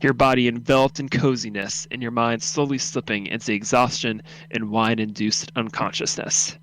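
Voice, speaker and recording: A man's voice: medium pitch, steady tone, moderate pace, a bit bright, a little hiss or hum, low-quality recording. A man reading scripted second-person story narration for a radio drama.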